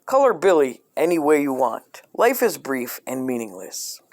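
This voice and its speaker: Deadpan man reading aloud, lively pitch swings. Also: moderate pace, slight background noise. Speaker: storyteller reading a parody children's coloring book. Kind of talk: scripted comedic read-aloud.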